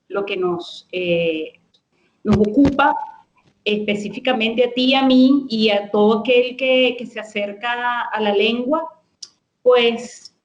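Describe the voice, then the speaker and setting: A woman's voice: fairly high pitch, highs cut off. Thoughtful woman linguist, echoey room. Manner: thoughtful, with many hesitations and restarts. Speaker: guest lexicographer. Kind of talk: explanatory conversation.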